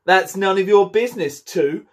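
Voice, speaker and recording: A man's voice: high-pitched, animated, moderate pace, pitched above the performer's own voice. A man putting on an indignant snippy card-gardener. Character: indignant, blame-dodging male playing-card gardener.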